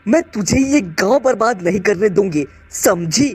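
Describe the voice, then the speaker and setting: A woman's voice: mid-range voice, expressive, normal speed, a little mellow, husky. A woman voicing a brave female magic bird in a cartoon, stern and defiant.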